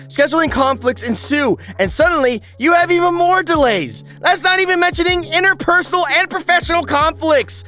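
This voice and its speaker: High pitched youtube essay narrator, super animated, sarcastic n over the top, bit of music behind. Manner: sarcastic, exaggerated, swearing. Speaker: video essay host. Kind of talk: energetic scripted video essay narration with sponsor read. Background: background music.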